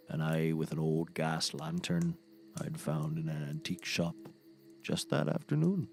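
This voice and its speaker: A man playing a folksy, eccentric, self-serious storyteller in a scripted comedy, rambling in a grandiose way. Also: sits low. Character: folksy, eccentric, self-serious male roast speaker telling tall tales. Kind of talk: scripted audio comedy acting.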